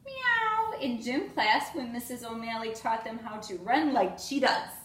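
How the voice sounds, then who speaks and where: A woman reading a children's storybook aloud in an expressive way, as a teacher does for young children. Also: on the high side, normal speed. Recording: echoey room with faint noise.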